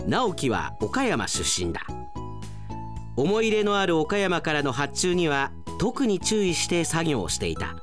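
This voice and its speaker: A man performs as a male storyteller narrating a whimsical daytime radio drama. His delivery is scripted and theatrical, with music clearly audible behind it. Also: a little high, wildly swooping pitch, unhurried. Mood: measured, dramatic.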